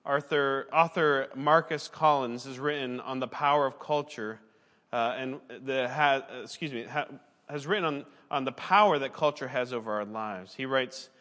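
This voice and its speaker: A man preaching in a church with an earnest, conversational delivery and a voice of middling pitch. He sometimes stops to correct himself and then carries on. A long hall reverb trails after his words. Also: moderate pace, pushed and bright. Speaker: pastor, former missionary. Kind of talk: sermon.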